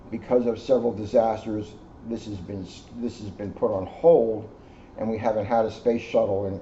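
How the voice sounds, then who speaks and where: A middle-aged American man giving an informal educational talk in a deliberate, emphatic way, phrase by phrase. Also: fairly low pitch, mellow. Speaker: police officer reading to children. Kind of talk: informal educational talk and picture-book reading. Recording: muffled audio with clear background noise.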